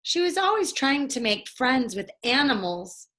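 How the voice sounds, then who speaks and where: A woman's voice: high voice, sing-song, measured pace, bright, forceful tone. A woman reading a children's storybook aloud expressively for young listeners. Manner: addresses young listeners, acts out dialogue. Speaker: story reader.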